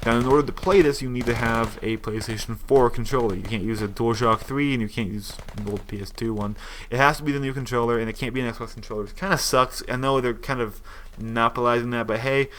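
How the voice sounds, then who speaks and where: Bright-voiced young man ranting about games. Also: mid-range voice, fairly even intonation, fast. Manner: opinionated, rambling with restarts. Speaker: gaming commentator. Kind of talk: casual opinion commentary.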